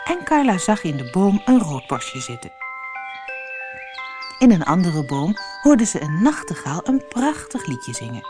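A woman reading a children's fairy tale as scripted narration, with expressive, clearly phrased storytelling. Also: on the low side, measured pace, music in the background.

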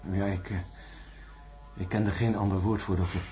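An actor playing a shaken male astronaut in a radio play, telling his story in a hesitant, halting way. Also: sits low, flat delivery, mellow, faint music behind, natural voice. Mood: recounting. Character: shaken male astronaut recounting a jungle ordeal.

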